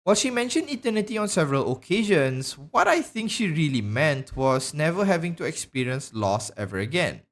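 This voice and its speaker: A man narrating a scripted video essay in a measured, explanatory way. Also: on the high side, big pitch swings, fairly bright tone. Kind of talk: scripted narration, game lore analysis.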